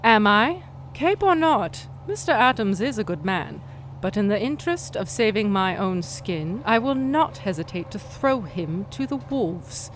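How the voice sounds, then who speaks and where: An actress playing a cunning, defiant female vigilante in a pulp radio drama, with formal, deliberate, menacing phrasing. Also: medium pitch, animated, slight background noise, natural voice, poor audio. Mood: defiant, threatening.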